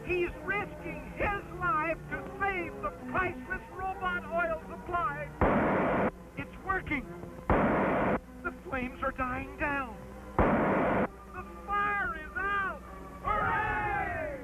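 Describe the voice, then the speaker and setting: Male narrator, very high-pitched, theatrical, emphatic, children's adventure storytelling, clear music bed. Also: extremely slow, long pauses, low-quality recording. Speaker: narrator, live stream host. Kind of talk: dramatic reading, old-fashioned children's adventure narration.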